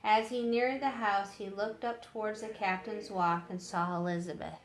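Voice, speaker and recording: A woman's voice: medium pitch, steady tone, moderate pace. A woman narrating a storybook as a read-aloud for story time. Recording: roomy echo with faint background noise.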